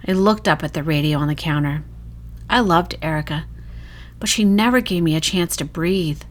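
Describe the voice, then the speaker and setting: Low-voiced woman narrating a horror story. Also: normal speed. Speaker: author reading their own short horror story. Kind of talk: dramatic first-person horror narration.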